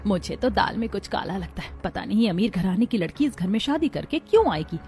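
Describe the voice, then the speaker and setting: A woman's voice: medium pitch, sing-song, brisk, dark tone. A woman playing a bitter, suspicious mother-in-law who taunts in a cartoon melodrama. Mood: scornful, suspicious. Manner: sarcastic, complaining.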